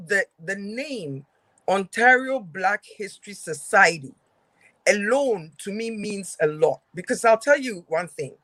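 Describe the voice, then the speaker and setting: A female talk show host with a Ghanaian accent speaks at an unhurried pace. She is conversational and emphatic, often checking that her guest follows, in a dry, close recording. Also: medium pitch, dry recording. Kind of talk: conversational interview, affirming the guest.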